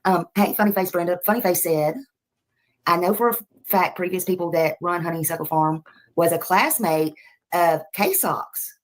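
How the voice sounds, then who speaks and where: A woman with a Southern US accent and a distinctly low voice. She chats casually as a livestream host, rambling in a folksy, polite manner as she addresses her viewers. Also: normal speed, low-quality recording. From Nashville, United States.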